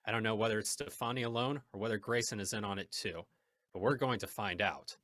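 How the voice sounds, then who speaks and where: A man's voice: on the low side, fast, fairly bright tone, slight background noise, close to an everyday voice. A man playing a resolute, grave masked vigilante hero in old-time radio drama style. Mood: grave, determined. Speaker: actor in a radio play.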